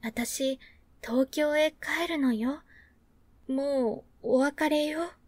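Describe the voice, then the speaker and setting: A woman voicing a sweet, kind little girl in a storybook reading, with a high childlike voice. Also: lively intonation, slow pace, fairly bright tone, no room sound, heavily acted. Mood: sad, soft.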